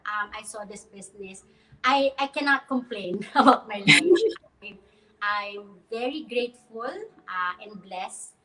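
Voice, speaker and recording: A woman's voice: on the high side, bright, forceful tone, rough recording. A woman in her thirties from the Philippines, a guest in a casual online interview who laughs often and uses many fillers.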